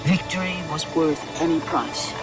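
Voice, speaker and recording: An actress playing a solemn, all-knowing storyteller, narrating a mythic video game tale in a portentous, measured manner. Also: female voice, deep voice, steady tone, lightly acted. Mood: grave. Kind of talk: dramatic scripted game narration. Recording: loud cinematic music underneath. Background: loud music.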